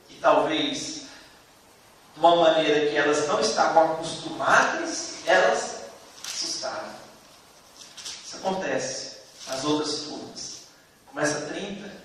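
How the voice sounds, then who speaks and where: A man with a Brazilian Portuguese accent lecturing a theology class in a didactic way, explaining through examples with emphatic pauses. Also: high-pitched, bright, forceful tone. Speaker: theology teacher. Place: classroom, indoors. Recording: echoey room, distant mic, faint noise.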